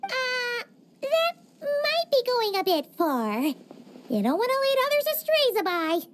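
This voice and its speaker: A woman voicing a tiny, excitable female fairy-like companion in a game cutscene, over game music. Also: extremely high pitch, takes it slowly, a little hiss or hum, heavily acted. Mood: chatty. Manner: bubbly, excitable. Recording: game music playing underneath.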